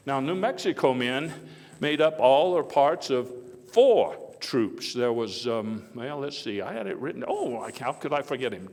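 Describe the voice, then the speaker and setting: A man playing a genial, self-deprecating old statesman who tells stories in a halting, folksy after-dinner speech. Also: medium pitch, lightly acted. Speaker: historical reenactor giving a speech. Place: large indoor hall before an audience. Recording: echoey hall, noisy live recording.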